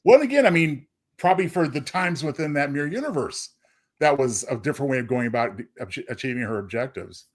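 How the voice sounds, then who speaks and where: A man's voice: medium pitch, lively intonation, fairly quick. A man co-hosting a casual podcast, explaining trivia in a joking, conversational way. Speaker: podcast co-host. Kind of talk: casual fan trivia chat.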